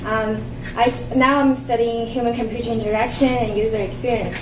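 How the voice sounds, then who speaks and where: A young woman in her twenties from China, a nonnative speaker with a Chinese accent, presenting earnestly with halting restarts. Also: fairly high pitch, steady tone. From Shanghai, China. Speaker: conference presenter. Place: conference room. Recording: echoey room from far off with hum.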